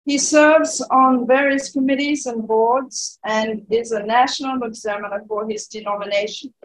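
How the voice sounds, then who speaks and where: A woman reading a speaker's introduction from a sheet in a church, measured and plain. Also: fairly high pitch, steady tone, poor audio. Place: church sanctuary.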